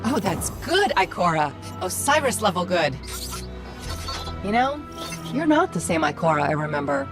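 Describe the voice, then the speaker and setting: An actress playing a witty, upbeat little AI companion in a video game, brisk and confident. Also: female voice, on the low side, sing-song. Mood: playful, warm. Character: witty, upbeat female Ghost, small AI companion. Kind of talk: scripted video game voice acting. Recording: music playing behind the voice.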